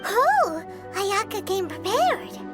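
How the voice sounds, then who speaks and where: A tiny, childlike fairy sidekick from a video game, with an extremely high, squeaky voice that bounces wildly up and down. She is perky and eager, and loud music plays behind her. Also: big pitch swings, takes it slowly, heavily acted. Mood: teasing, curious. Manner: perky, refers to self in third person. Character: tiny chirpy floating fairy companion, childlike, eager, food-loving. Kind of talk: video game character acting.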